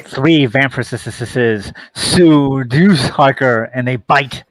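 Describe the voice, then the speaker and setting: A man's voice: medium pitch, slow pace, dry recording, low-quality recording. A man narrating a radio play, reading out the stage directions.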